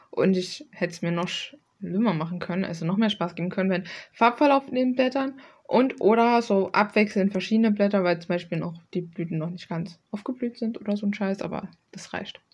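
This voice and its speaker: A woman's voice: mid-range voice, normal speed. A young woman with a mid-range voice talks casually, as an illustrator would in a homemade art vlog. Her delivery is rambling and slangy, with self-deprecating asides and some mild swearing. She is recorded at a desk in a small room at home. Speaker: illustrator presenting own artwork.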